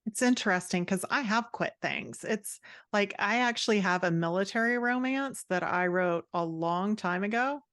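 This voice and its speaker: Woman, mid-pitched voice, dry close recording, casual podcast guest, writing coach. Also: moderate pace, a bit bright, no room sound.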